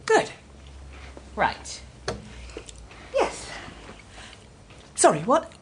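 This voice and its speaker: Radio drama: a dry-witted novelist wife, voice swinging widely. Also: female voice, sits high, wildly swooping pitch, extremely slow, a little hiss or hum, heavily acted. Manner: dry, deflecting wit. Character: dry, evasive woman novelist, the narrator's wife. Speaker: writer, estranged wife. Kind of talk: BBC radio drama acting.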